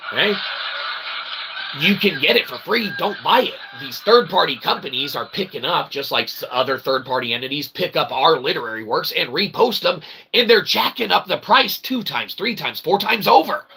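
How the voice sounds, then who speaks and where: A man's voice: on the high side, gravelly, bright, forceful tone, slight background noise. A man who hosts his own channel rants emphatically to viewers in wordy mock-academic phrases mixed with slang, often checking in with a pointed "okay?". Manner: punctuates statements with "okay?" and "all right". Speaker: channel host, self-published author. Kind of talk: opinionated rant to viewers.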